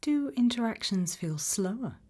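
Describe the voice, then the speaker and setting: A British woman speaking in a soft, breathy, mostly whispered ASMR style, gently asking measured questions as an interviewer in a roleplay. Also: a little high, big pitch swings. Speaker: ASMR roleplayer as an abduction investigator.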